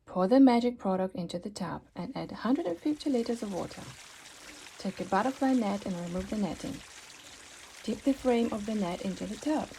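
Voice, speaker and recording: A woman voicing a mysterious seller of magic remedies in a children's storybook, deliberate and salesmanlike. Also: medium pitch, animated, mellow, slight background noise, close to an everyday voice. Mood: instructive.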